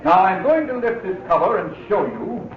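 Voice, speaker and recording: An actor playing a jolly charity auctioneer with showy sales patter, in 1940s radio acting. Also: male voice, high voice, very animated, moderate pace, a little hiss or hum. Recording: echoey dull old radio recording with crowd.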